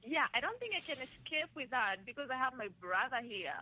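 A woman's voice: high-pitched, very animated, fairly quick, slightly rough. A woman calling into a talk show, speaking candidly and emphatically. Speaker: caller. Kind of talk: casual phone-in chat. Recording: muffled phone line.

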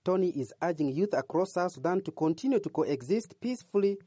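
Mellow-voiced male news reporter. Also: high voice, slightly rough, no echo, studio quality. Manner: even, measured narration.

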